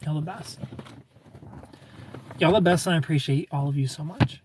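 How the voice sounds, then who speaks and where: An American man with a slightly high voice hosts a casual live stream. He chats playfully and jokes as he answers comments, swearing freely. There is faint noise with clicks on the recording. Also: normal speed, a little mellow. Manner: joking, playful, informal with swearing. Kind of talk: casual chat, reading and replying to live comments.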